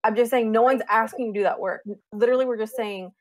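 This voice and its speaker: Fast, emphatic woman, dry sound. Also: a little high, steady tone, dry recording. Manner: emphatic, pointed. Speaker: panelist. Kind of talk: casual panel discussion.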